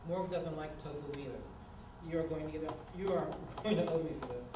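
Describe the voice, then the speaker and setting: A man's voice: fairly high pitch, a little fast, natural voice. A man acting an exasperated, sarcastic housemate of about thirty in a staged screenplay reading. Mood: wry. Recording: echoey, noisy room from far off.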